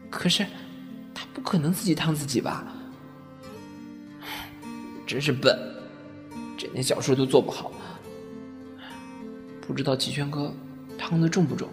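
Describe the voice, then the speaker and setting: A man performs a young male rookie singer for a radio drama. He sounds timid and self-blaming, in a fairly high, hesitant voice with music clearly playing behind. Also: a little slow, long pauses. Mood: troubled, self-blaming. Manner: hesitant, apologetic. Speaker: voice actor. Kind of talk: amateur Chinese radio drama acting.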